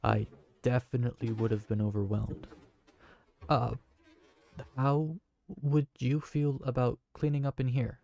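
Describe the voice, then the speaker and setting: Man acting as a friendly awkward tavern keeper, hesitant, mellow gravelly voice, dry close sound. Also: mid-range voice, flat delivery, a little slow, no echo, highs cut off. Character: kind, awkward young male tavern keeper named Briar. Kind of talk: fantasy audio roleplay.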